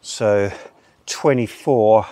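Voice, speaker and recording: Older male gardener, slow-paced and chatty, recorded outdoors on a distant camera mic. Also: lowish voice, mellow, no echo. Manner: chatty, explains as he works. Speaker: allotment gardener. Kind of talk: casual gardening vlog. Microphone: camera mic on a tripod at a distance.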